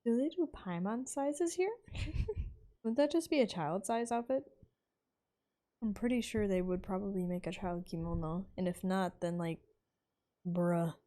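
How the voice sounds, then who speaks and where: A woman's voice: mid-range voice, measured pace, pauses often, mellow. A woman streamer giving casual, slangy commentary on a game, with joking asides and lines read aloud.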